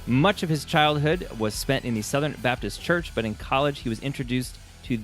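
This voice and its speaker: A man's voice: medium pitch, fairly quick, fairly bright tone, faint music behind. A man hosting a conversational interview podcast, speaking informally with emphatic phrasing.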